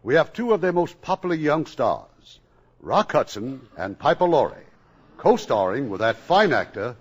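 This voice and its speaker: A man's voice: mid-range voice, very animated, normal speed, breathy edge, dull audio. A man hosting a 1950s radio drama show, speaking in a genial, polished announcer's manner. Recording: old radio broadcast with faint music.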